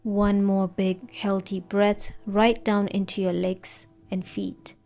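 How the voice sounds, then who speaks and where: A woman narrating a guided meditation in a gentle, soothing, unhurried manner. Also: medium pitch, flat delivery. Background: background music.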